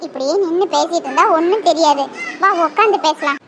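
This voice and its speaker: A woman's voice: very high-pitched, expressive, moderate pace, a bit bright, a little hiss or hum. A woman playing a young woman who pleads with her drunk lover, tearful and half-laughing. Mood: coaxing.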